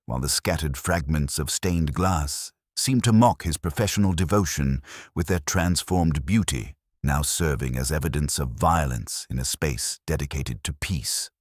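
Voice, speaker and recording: Very deep man narrating a mystery. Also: no room sound, clean studio sound. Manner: measured, literary, dramatic storytelling. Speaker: audiobook narrator. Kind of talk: scripted mystery story narration.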